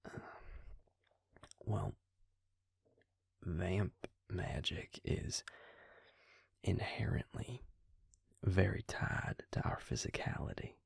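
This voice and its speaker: A man playing a patient, grave vampire in an intimate audio roleplay, speaking husky, breathy and low, with hesitant, deliberate pauses. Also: lively intonation, dry recording, in a whisper, full character voice. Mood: grave, explaining. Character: male vampire, patient, grave, quietly explaining lore.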